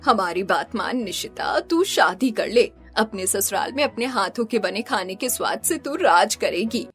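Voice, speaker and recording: A woman's voice: medium pitch, lively intonation, measured pace, a bit bright. A woman voicing a nagging, fretful middle-aged mother in a cartoon. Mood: urging.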